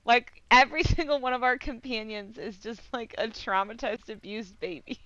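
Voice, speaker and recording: A woman giving casual live game commentary, excitable and giggly, swearing and meandering as she thinks aloud. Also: on the high side, moderate pace, dry recording, muffled recording. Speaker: game streamer.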